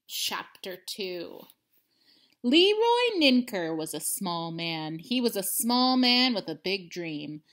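A woman in her thirties reading a storybook aloud to children, expressively and with emphatic pauses. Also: mid-range voice, sing-song, fairly bright tone. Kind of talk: animated children's read-aloud. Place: indoors, living room at home.

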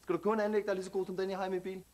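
Guy doing a cocky young street tough, high voice, picks fights, says "mand" all the time. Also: level tone, darkish tone, slight background noise, lightly acted. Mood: boastful. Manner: swaggering, aggressive slang, ends lines with "mand". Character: brash young male street tough at a TV casting, hot-tempered. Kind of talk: scripted sketch comedy.

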